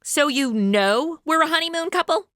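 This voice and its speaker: Actress as a brash, high-pitched, sarcastic young woman. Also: lively intonation, moderate pace, fairly bright tone, dry recording, clean studio sound. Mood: sarcastic, blunt. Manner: blunt, slangy. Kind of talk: comedic radio drama acting.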